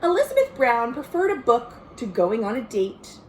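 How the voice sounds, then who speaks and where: An American woman, a children's librarian, reading a picture book aloud in an expressive, sing-song way with chatty asides to young listeners. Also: fairly high pitch, moderate pace, roomy sound, slight background noise. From United States. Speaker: children's librarian hosting a virtual storytime. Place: at home.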